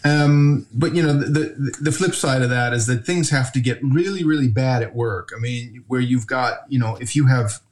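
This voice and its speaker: A man's voice: mid-range voice, moderate pace, hardly any pauses, darkish tone. A man from the United States, a guest in a casual interview, talking in a rambling way with many restarts. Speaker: podcast guest, brewery owner.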